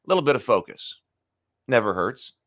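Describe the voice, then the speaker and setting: A middle-aged man hosting a casual morning live stream, his voice gravelly today, clearing his throat often and thinking aloud with fillers. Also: medium pitch, very animated, a little fast, a bit bright.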